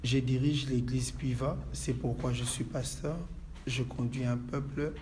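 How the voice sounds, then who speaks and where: A man from Congo who leads a church, answering calmly and praying in a measured, preacherly way. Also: mid-range voice, a little hiss or hum. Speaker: pastor. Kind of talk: calm interview answers and prayer. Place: inside a church.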